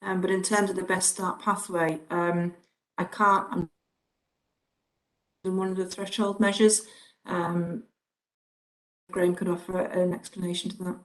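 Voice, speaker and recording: Woman, health officer, low flat voice, measured reply. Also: poor audio. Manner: halting, measured. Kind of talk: council meeting reply.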